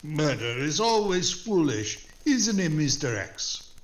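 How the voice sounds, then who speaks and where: A man's voice: fairly high pitch, very animated, slow. A man playing a timid, muddled, obsequious police underling in a 1940s-style radio drama, apologetic and hesitant. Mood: solemn.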